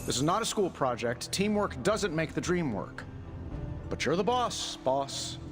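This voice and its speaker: An actor playing a cocky, defiant, sarcastic genius hero in a video game cutscene, with pointed delivery. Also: male voice, fairly high pitch, very animated, a little slow, over music. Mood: bitter sarcasm.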